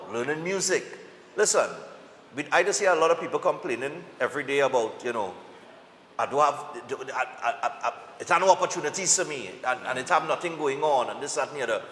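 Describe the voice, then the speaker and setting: A man with a Trinidadian accent hosting a morning TV chat, lively and quick to laugh, repeating his words as he talks. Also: mid-range voice, a little hiss or hum. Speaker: morning show host. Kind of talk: casual chat interview. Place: TV studio. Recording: echoey studio with long reverb.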